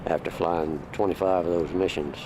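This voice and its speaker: An elderly American man in his late eighties, a veteran recalling the past in a halting, plain-spoken way. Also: sits low, fairly even intonation. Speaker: war veteran being interviewed. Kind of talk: unscripted recollection. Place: in and around an old airplane. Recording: noisy aircraft location sound.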